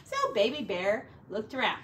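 A middle-aged woman, a teacher reading a picture book to children in an expressive, deliberate way, recorded indoors from a few feet away. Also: on the high side. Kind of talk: animated children's storybook read-aloud. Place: small home room, indoors. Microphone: camera a few feet away. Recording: distant camera mic, faint background noise.

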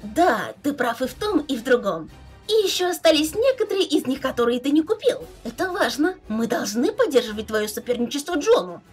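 A woman's voice: high voice, big pitch swings, brisk, husky, soft background music, full character voice. A woman voicing a playful, bossy teenage alien troll girl in a theatrical webcomic dub. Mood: playful, encouraging. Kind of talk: fan dub of a webcomic chat.

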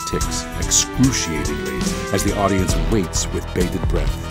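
A man's voice: deep voice, animated, a little slow. A man reading scripted stage directions as the narrator of an audio musical. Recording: loud music bed under the voice. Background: loud instrumental music.

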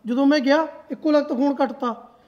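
A man's voice: extremely high pitch, fairly even intonation, moderate pace, a little mellow, highs cut off. A man playing a suspicious, jealous young husband. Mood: bitter. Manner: accusing, insistent.

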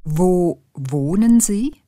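A woman speaking slowly and distinctly in a beginner language lesson, repeating each sentence. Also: fairly low pitch, lively intonation, warm tone, no room sound, clean studio sound. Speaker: language teacher. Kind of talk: slow, clearly spoken language lesson.

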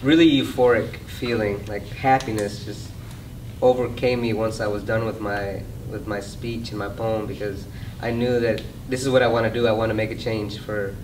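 A man's voice: lowish voice, level tone, moderate pace. An enthusiastic man describing his first stage performance. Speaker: theatre performer. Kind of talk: interview reflection. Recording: noisy room at a distance.

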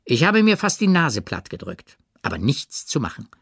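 A man's voice: medium pitch, huge pitch swings, moderate pace, vocal fry, fairly bright tone, slightly rough, dry recording, studio quality, voice pushed up, exaggerated voice. A man voicing an exuberant, boastful painter in an audiobook, with emphatic, theatrical delivery. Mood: animated, boastful. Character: exuberant, showy male painter holding forth at dinner. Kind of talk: audiobook character reading.

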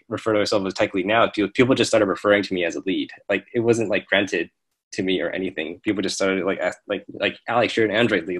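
A young man with a very low voice talks loosely over earphones on a video call. He fills nearly every phrase with "like" and "um". The sound comes from a small home room. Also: slightly rough. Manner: relaxed, filler-heavy, says "like" constantly. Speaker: panelist. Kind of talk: casual video call discussion. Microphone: earphones headset on video call.

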